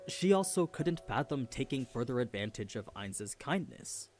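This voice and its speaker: Man narrating with lively, swinging pitch. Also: normal speed, soft background music. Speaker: narrator of an explainer video. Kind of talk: scripted narration.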